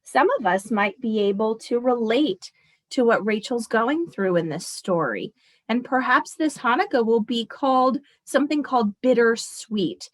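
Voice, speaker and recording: A woman's voice: on the high side, animated, a little slow. A woman teacher reading a picture book aloud expressively and explaining it to children as she goes.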